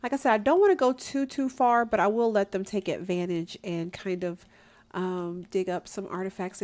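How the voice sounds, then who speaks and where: A woman's voice: mid-range voice, a little mellow. Young woman, gameplay commentator, nasal stuffy-nose tone, easygoing and chatty, home desk mic. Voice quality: nasal from allergies. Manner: chatty, addresses viewers as y'all, laughs often. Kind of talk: casual let's play commentary.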